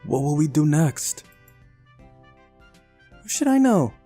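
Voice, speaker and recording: A man's voice: fairly high pitch, very animated, slow pace, dark tone, soft background music, voice pushed up. A man voicing a feisty, childlike girl in a visual novel, bossy, teasing and pouty. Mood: cheeky.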